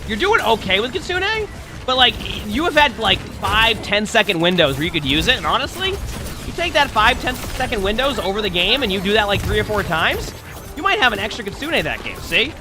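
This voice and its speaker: A man streaming and coaching a player through gameplay, talking directly to them about their mistakes, a little hoarse from being under the weather. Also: high voice, big pitch swings, fairly quick, music in the background.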